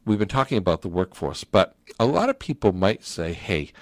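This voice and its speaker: A man hosting a radio talk show, chatty and energetic, often repeating himself as he asks questions. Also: low voice, normal speed, no room sound, studio quality. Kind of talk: casual radio interview.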